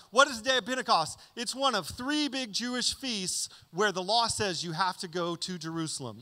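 A man in his thirties preaching a conversational sermon into a handheld mic on a church stage, often checking in with "okay?". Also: high voice. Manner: conversational, animated teaching with frequent "okay?" check-ins. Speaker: pastor. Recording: reverberant church hall.

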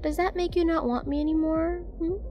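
A woman acts out a dangerous female mercenary flirting in an audio roleplay. Her voice sits high, with plenty of gravelly fry at the edges. She is teasing, self-assured and used to giving orders, with faint music behind her. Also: fairly even intonation, brisk, muffled recording. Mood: teasing, flirtatious. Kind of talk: scripted roleplay audio drama, addressing the listener.

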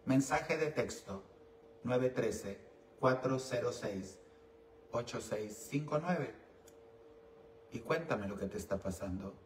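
A man's voice: mid-range voice, lively intonation, slow pace, pauses often. A man with a Mexican accent hosting a call-in advice show, bantering in a brash, teasing, campy and bawdy manner. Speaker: call-in show host and esoteric advisor. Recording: roomy echo, distant mic, faint noise.

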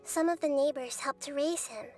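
A woman voicing a wise young goddess girl in a video game, who tells of old memories in a calm, gentle way. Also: high voice, normal speed, soft background music, natural voice. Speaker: narrator of memories. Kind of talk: game voice acting.

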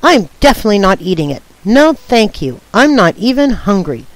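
Stubborn young boy for a picture book, played by a woman, fussy and emphatic, animated delivery. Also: medium pitch, moderate pace, no echo, rough recording. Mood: defiant. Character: stubborn, picky little brother refusing food.

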